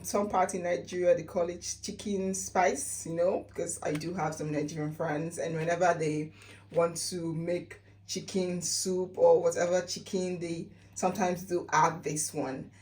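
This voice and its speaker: A woman's voice: mid-range voice, far from the mic, low-quality recording. A woman with a Sierra Leonean accent chatting casually as a vlogger, repeating phrases for emphasis. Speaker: lifestyle vlogger. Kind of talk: casual chatty home-remedy vlog.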